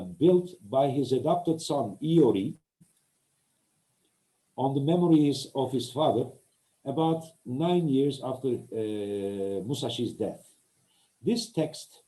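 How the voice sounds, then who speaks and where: A man with a Turkish accent, a native Turkish speaker, giving an academic lecture as a translator, often pausing and searching for words. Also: mid-range voice, mellow, rough recording. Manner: hesitant, searching for words with many pauses.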